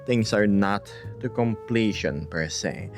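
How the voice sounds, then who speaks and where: A man hosting a casual live stream, reading viewer messages aloud in a playful, laid-back way with hushed asides and upbeat bursts. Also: lowish voice, takes it slowly, soft background music.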